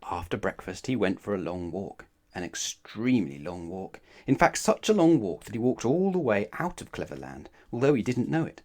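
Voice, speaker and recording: Very animated male children's storyteller. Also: on the low side, normal speed, hardly any pauses. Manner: expressive, addresses listeners with questions. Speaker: storybook narrator. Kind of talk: children's read-aloud narration.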